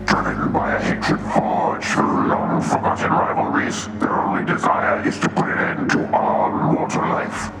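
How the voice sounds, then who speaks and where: A man's voice: a little high, wildly swooping pitch, measured pace, few pauses. A man reading a scripted fantasy introduction in a grave, ominous storytelling manner. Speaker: narrator. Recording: echoing voice under clear music. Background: music.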